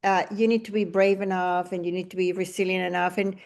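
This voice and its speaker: A woman's voice: lowish voice, little pitch movement, a little fast, gravelly, darkish tone. A middle-aged woman from Venezuela with a Venezuelan Spanish accent, hosting a podcast interview and reflecting in long, winding sentences. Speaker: podcast host. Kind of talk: casual interview conversation.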